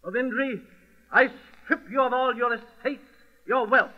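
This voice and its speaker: An actor playing an imperious, vengeful governor, pompous and commanding in old radio drama style. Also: male voice, extremely high pitch, normal speed. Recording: muffled lo-fi old radio recording.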